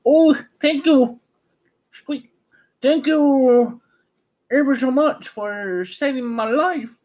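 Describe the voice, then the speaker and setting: A man voicing a tiny, proud field mouse queen in a squeaky storybook voice. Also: takes it slowly, darkish tone. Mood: grateful.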